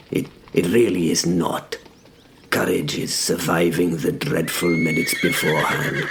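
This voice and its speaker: A man playing a wry, fearful young medieval knight who narrates his battles in a deadpan, measured way for an audio drama. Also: deep voice, creaky, a little hiss or hum, heavily acted. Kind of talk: first-person scripted dramatic narration.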